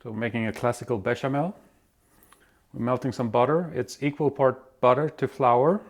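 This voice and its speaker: A man from Belgium hosting his own cooking show, walking through a recipe in a relaxed, step-by-step way in a home kitchen. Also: mid-range voice, moderate pace. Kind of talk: casual cooking demonstration.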